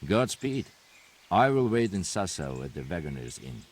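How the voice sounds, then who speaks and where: An actor playing a stern knight who calmly reports on forged coins in a video game cutscene, speaking bluntly and without fuss. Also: male voice, sits low, moderate pace. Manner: blunt, matter-of-fact reporting. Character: grim male knight investigating counterfeiters.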